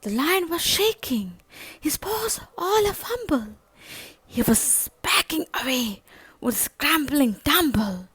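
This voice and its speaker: A woman narrates a children's story in a high, very lively voice. Her delivery is slow, dramatic and expressive, as a read-aloud storyteller. The sound is dry and close. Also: no room sound.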